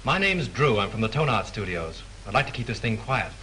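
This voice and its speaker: A brisk, probing studio man. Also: lowish voice, sing-song, bright, forceful tone. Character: brisk male studio publicity man investigating. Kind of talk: 1930s film acting. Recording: hissy 1930s film soundtrack.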